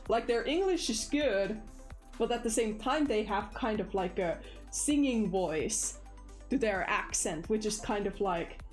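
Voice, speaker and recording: A woman's voice: fairly high pitch, a little mellow. A woman with a Finnish accent hosting a casual livestream, chatty and filler-heavy, laughing easily. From Finland. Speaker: livestream host, animator.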